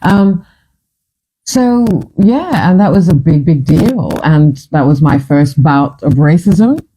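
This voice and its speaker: A woman's voice: low-pitched, expressive, slow, mellow, slightly rough, poor audio. A woman from Fiji in her fifties, hosting a casual chat and telling her stories in a chatty, dramatic, self-mocking way. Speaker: host.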